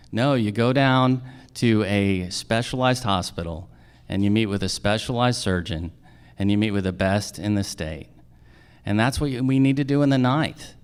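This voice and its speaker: Smooth low-voiced man making a campaign speech. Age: middle-aged. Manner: emphatic, rhetorical questions and repetition. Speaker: judicial candidate. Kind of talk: political forum speech. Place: live in a theater.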